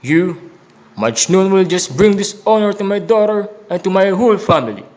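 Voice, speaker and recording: A man's voice: sits high, animated, normal speed, a little mellow. A man playing a stern, wrathful father guarding his family's honour in an amateur radio drama, indignant and commanding. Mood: outraged.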